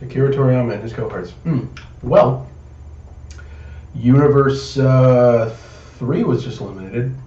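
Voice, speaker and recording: A man's voice: mid-range voice, unhurried, pauses often, warm tone. A man chatting casually about pop culture, opinionated and emphatic, swearing freely. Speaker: podcast co-host or guest. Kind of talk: casual pop-culture debate among friends. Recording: roomy, muffled, distant mic, faint noise.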